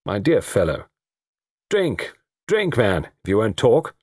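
A man voicing a brash, petulant young Englishman, loud, sarcastic and bantering, in an audiobook story. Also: on the low side, wildly swooping pitch, slow, slightly rough, dry recording, studio quality, full character voice.

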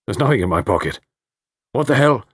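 A man voicing a defensive, sharp-tongued young Englishman, curt and angry. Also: medium pitch, expressive, a little fast, breathy edge, no echo, clean studio sound, voice pushed up.

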